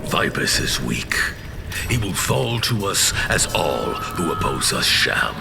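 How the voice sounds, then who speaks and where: A man's voice: very animated, slow, background noise, heavily acted. A man playing a vengeful, imperious warlord titan in an audio drama, with a growling voice. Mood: defiant reassurance. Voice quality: very deep, gravelly growl.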